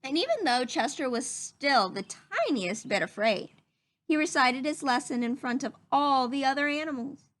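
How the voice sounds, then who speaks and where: High-pitched, animated woman reading to children. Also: moderate pace. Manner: animated, simple explanatory asides to young listeners. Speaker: storybook reader for children. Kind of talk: expressive read-aloud narration for children.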